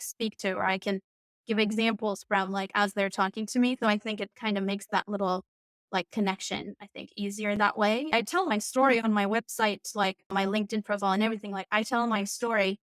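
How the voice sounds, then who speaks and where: A woman from Turkey whose first language is Turkish, a coach guest on a podcast, chatting casually and warmly with many fillers. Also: medium pitch, steady tone, brisk, no echo. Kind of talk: casual interview chat.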